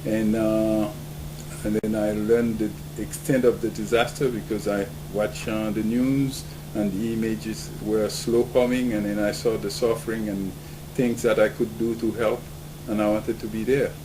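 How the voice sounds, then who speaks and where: Haitian-born man over steady hum. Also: lowish voice, unhurried. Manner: earnest, with frequent "uh" fillers. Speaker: volunteer doctor. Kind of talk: recounting experience to camera. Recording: steady humming background noise.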